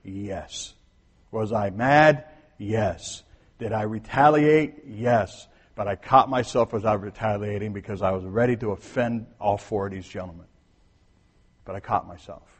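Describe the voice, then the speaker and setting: A husky, fairly low-voiced man preaches to his church. His sermon is conversational, dropping to near-quiet asides and rising to emphatic points. A long reverb hangs in the sanctuary behind him. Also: a little slow. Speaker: pastor.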